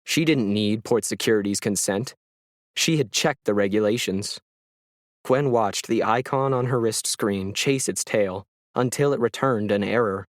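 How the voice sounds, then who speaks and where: A man's voice: lowish voice, unhurried, no room sound, clean studio sound. A man reading a scripted science fiction story as its narrator.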